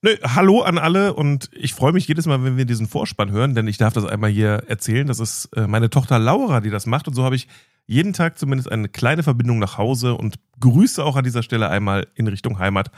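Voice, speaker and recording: Male podcast co-host, nasal and congested, deadpan, dry close sound. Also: mid-range voice, fairly quick, no echo. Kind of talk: casual banter podcast.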